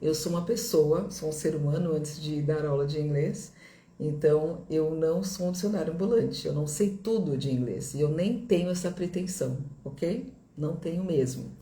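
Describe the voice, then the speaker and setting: Brazilian lady teaching to camera, mellow voice, keeps going "ok?", echoey room. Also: low-pitched, normal speed. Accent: Brazilian Portuguese. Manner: reassuring, repeats herself, checks in with "ok?". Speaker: English teacher. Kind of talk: direct-to-camera video lesson.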